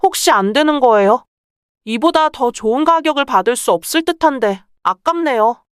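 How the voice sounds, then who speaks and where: A woman's voice: high voice, expressive, normal speed, smooth, no room sound, studio quality. A woman playing a clever, bold young merchant's daughter in a folktale, speaking politely and persuasively. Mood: coolly calculating. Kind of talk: acted Korean folktale dialogue.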